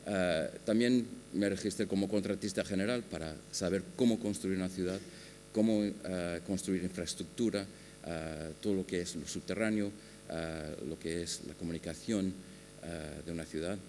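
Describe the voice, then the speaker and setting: Man presenting at a conference, very low voice, hesitant with many fillers, long hall reverb, faint hum. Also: darkish tone. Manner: halting, many filler "ah"s and restarts. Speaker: architect speaking on a panel.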